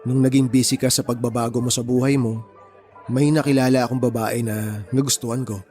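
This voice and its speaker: Kind young male friend, played by an actor, mid-range voice, gentle, earnest advice-giving, romantic radio drama. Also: medium pitch, steady tone, brisk, soft background music, close to an everyday voice.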